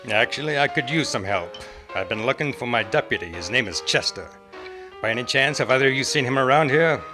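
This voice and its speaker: A man's voice: mid-range voice, lively intonation, fairly quick, natural voice. A man playing a watchful, lonely Old West marshal in an old-time radio western parody. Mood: watchful, dry. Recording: club stage performance with faint music.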